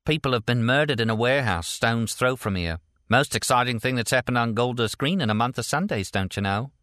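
Casting: gossipy young female pub waitress for a novel male narrator acting her, chatty, excited, pitch lifted. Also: brisk, no echo, studio quality, close to an everyday voice. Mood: excited gossip. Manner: colloquial, gossipy.

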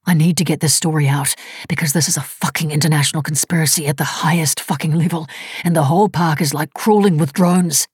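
A woman narrator voicing an injured, hunted man who talks in a hoarse, urgent, pleading rush. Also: fairly high pitch, steady tone, fast, no room sound, studio quality. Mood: frantic urging. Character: injured hunted young man, frantic and desperate.

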